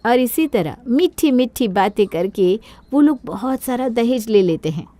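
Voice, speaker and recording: A woman's voice: a little high, lively intonation, unhurried, warm tone, no echo. A woman storyteller reading a scripted moral story.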